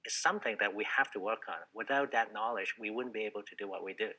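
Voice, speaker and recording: A man from Hong Kong speaks on a business panel as a company founder. His voice is lowish and bright. He talks hesitantly, with plenty of fillers. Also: quick pace, husky. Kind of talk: panel discussion.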